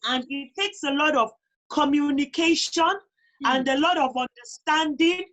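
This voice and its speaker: A woman's voice: high voice, normal speed, fairly bright tone, rough recording. A woman with a Nigerian accent speaking emphatically as a talk show guest, repeating phrases to drive her points home. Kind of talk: conversational interview, personal advice.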